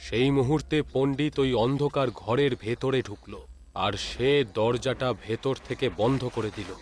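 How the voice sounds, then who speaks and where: A man's voice: medium pitch, steady tone, normal speed, darkish tone, slight background noise. A man narrating a scripted ghost story as a storyteller.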